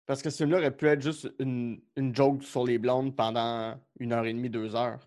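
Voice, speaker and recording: A man hosting a casual interview, relaxed and mostly giving brief replies. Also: mid-range voice, normal speed. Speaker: interview host.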